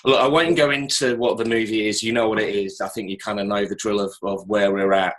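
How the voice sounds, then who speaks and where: A man's voice: fairly low pitch, steady tone, a little fast, a bit bright. Chatty male interviewer on a call. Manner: chatty, loose questions with asides. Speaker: interviewer, host. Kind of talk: casual interview over a call. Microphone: call recording.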